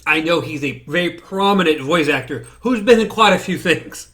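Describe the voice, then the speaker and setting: A man co-hosts a casual podcast, pressing his opinions hard and drawing out words for emphasis. His voice sits a little high and bright, with a lively, swinging pitch. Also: moderate pace. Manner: emphatic and insistent, stressing words in stretched bursts. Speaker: podcast co-host. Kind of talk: casual chat.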